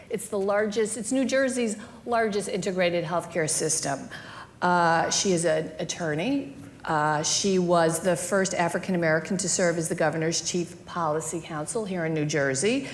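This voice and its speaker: A woman interviewing a guest on stage at a panel event, with a chatty, lively manner and easy asides. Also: medium pitch, a little hiss or hum.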